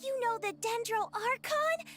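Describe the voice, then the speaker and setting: A woman's voice: very high-pitched, unhurried. A woman voicing a wide-eyed, innocent little girl in an anime game, with a tiny childlike voice. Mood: amazed. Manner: exclamatory, naive. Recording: loud game music behind the voice.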